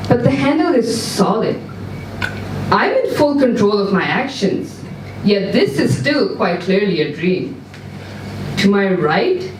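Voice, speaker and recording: A woman giving a live dramatic reading of a short story, with measured, suspenseful delivery. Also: medium pitch. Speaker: story reader. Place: indoor event room, live reading. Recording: roomy, distant mic, background noise, live venue.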